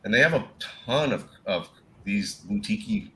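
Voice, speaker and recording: A man with a Minnesota accent hosting a casual live whiskey chat, rambling and laughing, clearing a frog from his throat. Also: lowish voice, big pitch swings, moderate pace, sharp tone, slight background noise, poor audio. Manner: laid-back, rambling, laughs often. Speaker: whiskey show host.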